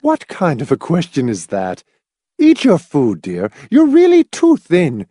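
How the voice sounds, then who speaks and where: A woman's voice: low voice, wildly swooping pitch, unhurried, mellow, slightly rough, no echo, studio quality, pitched above the performer's own voice, heavily acted. A man reading a story, voicing a fussy, gushing, complacent old woman with a prim, matter-of-fact manner. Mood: genteel fussing. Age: older.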